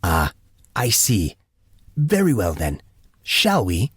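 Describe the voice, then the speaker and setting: A man's voice: sits low, huge pitch swings, slow pace, slightly rough, no echo, studio quality, exaggerated voice. Guy putting on a proper butler type for an audiobook, pompous n polite, teases a bit, never ruffled. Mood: politely formal. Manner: formal, pompous, precise wording. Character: courtly, unflappable male attendant, gently teasing.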